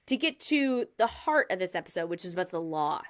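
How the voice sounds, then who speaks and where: A woman's voice: on the low side, very animated, fairly quick. A woman in her thirties hosting a casual chat, laughing often and explaining her research step by step. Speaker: podcast host presenting research.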